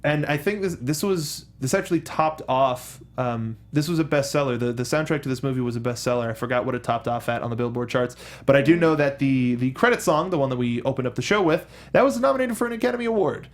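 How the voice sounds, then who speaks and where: A man hosting a casual movie discussion, talking in a rambling, halting way and often correcting himself mid-sentence. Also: medium pitch. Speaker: film discussion show host. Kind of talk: casual movie review chat.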